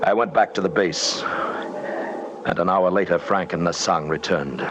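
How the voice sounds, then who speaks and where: Actor as terse, haunted low-voiced expedition leader. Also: male voice, close to an everyday voice. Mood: haunted, suspenseful. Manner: terse, intense, deliberate. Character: determined, haunted male expedition leader telling his story. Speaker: lead actor and narrator. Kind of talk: dramatic first-person narration, old-time radio acting. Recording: very noisy old radio recording.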